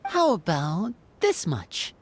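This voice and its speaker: A woman playing a calm, courteous adventurer in a game, speaking formally and earnestly. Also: on the low side, slow, slight background noise, full character voice.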